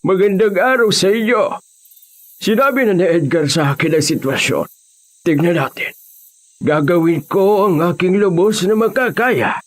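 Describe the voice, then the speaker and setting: A man playing an elderly veteran folk healer, calm, frank and measured, in a horror audio drama. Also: high voice. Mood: calm, obliging.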